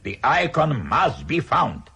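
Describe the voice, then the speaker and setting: An actor in a radio drama playing an imperious, impatient Soviet General Secretary, curt and commanding in a husky voice. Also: male voice, fairly high pitch, huge pitch swings, takes it slowly, sharp tone, full character voice. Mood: demanding.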